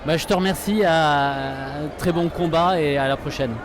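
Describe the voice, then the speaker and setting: A man asking informal questions as a street interviewer, halting and rephrasing as he goes. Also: a little high. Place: outdoors in the street at a demonstration. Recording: faint street noise.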